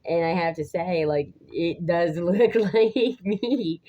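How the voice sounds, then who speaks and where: A woman in her thirties with a raspy voice, talking to viewers in a halting, rambling casual vlog, often restarting and laughing between phrases. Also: on the low side, warm tone, lo-fi sound. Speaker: vlogger. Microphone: phone.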